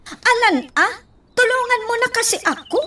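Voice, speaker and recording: Coy, very high-voiced young shop girl. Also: expressive, normal speed, pushed and bright, exaggerated voice. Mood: coaxing, chirpy. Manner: coaxing, coy, mixes Tagalog and Ilocano. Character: sweet, coy young female shop worker, chatty. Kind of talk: Ilocano radio comedy-romance acting.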